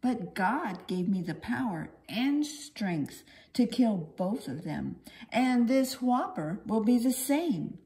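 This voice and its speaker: Woman voicing a bold, high little shepherd boy. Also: big pitch swings, slow pace, darkish tone, exaggerated voice. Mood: confident, earnest. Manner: bold, declaiming, earnest.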